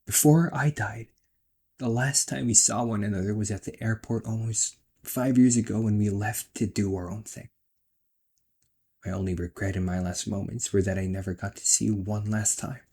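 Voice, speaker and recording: A man playing a protective, exasperated ghost brother in an emotional amateur audio roleplay, speaking in a husky voice. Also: fairly low pitch. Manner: emotional, swearing, swings from outbursts to soft confessions.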